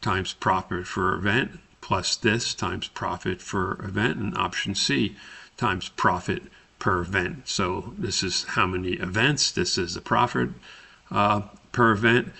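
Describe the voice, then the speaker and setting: Male instructor for screen tutorial: deep, bright-edged voice, deliberate halting step-by-step delivery. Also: vocal fry, husky. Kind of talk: screen-recorded spreadsheet tutorial narration.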